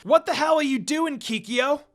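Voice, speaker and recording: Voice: high voice, normal speed, pitched above the performer's own voice, lightly acted. A reader voicing a brash, hot-tempered young half-demon man in an amateur story reading. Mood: furious.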